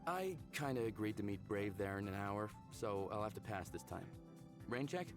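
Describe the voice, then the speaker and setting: An earnest, lively young spaceman. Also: lowish voice, moderate pace. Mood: earnest, reassuring. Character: upbeat, smitten young man aboard a passenger spaceship. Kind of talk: visual novel game voice acting. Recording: background music under the voice.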